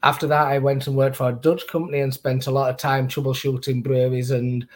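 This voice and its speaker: A man's voice: mid-range voice, level tone, fast talker. A middle-aged man with a Northern English accent, chatting casually as a podcast guest about his career in brewing. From Northern England. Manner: chatty, self-deprecating, laughs easily. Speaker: guest, brewer and distiller.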